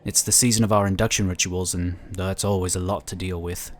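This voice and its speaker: A man's voice: deep voice, flat delivery, a little fast, lightly acted. A man playing an affable, talkative and polite psionic inquisitor in a sci-fi audio drama. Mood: apologetic, chatty. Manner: talkative, easygoing, explanatory.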